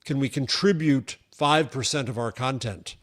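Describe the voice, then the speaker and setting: An older man speaking as a guest journalist on a podcast, laying out an argument in a thoughtful, conversational way. He often restarts his phrases mid-thought. His voice sits at an ordinary male pitch. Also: moderate pace. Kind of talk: casual interview chat.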